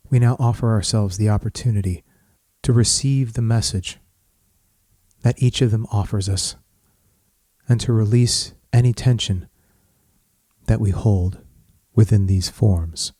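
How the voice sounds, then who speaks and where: A man leading a guided meditation in a measured, soothing manner, with pauses between short phrases. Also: on the low side, dark tone, dry recording.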